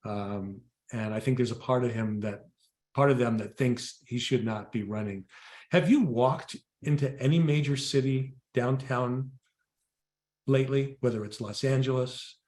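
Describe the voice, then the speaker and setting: A man's voice: medium pitch, a little slow, darkish tone. An American man co-hosting a conversational political discussion, speaking emphatically in repeated phrases and rhetorical questions. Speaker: co-host, political activist. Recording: echoey room sound.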